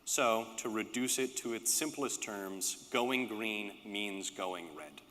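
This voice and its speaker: A man with a lowish voice delivers a prepared political speech. His phrasing is emphatic and rhetorical, leaning on repetition to drive points home. The sound carries the long reverb of a large hall. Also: slow, pushed and bright, a little hiss or hum. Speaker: political speaker, panelist.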